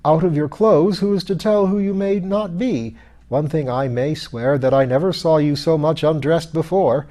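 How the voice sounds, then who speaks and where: Man as skeleton nobleman, audiobook character, rough, peevish, aristocratic bluster, old-fashioned exclamations, raised pitch. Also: moderate pace, warm tone, close to an everyday voice. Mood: blustering, confused. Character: pompous, irritable, hard-drinking skeleton lord.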